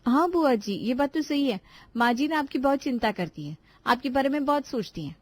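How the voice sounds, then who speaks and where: A woman's voice: high-pitched, animated, fairly quick, no room sound. Woman as a cheeky, sarcastic young daughter-in-law. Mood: mock innocent. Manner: sarcastic, mock-innocent needling. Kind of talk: animated family drama voice acting in Hindi.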